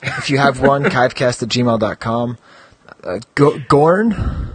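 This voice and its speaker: A middle-aged man hosting a podcast from his home basement, chatty and rambling, hoarse from a cold. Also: mid-range voice, animated, a little slow. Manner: rambling, chatty, self-mocking. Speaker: podcast host. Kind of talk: casual chat.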